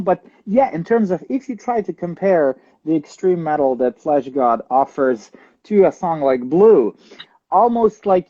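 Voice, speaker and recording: A young man from Ukraine with a fairly high, mellow voice, talking things through in an interview. He pauses often, restarts his sentences and laughs easily. The sound is a bit dull and lo-fi. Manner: thoughtful, many fillers and restarts, laughs easily. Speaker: guest drummer. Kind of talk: casual interview answers.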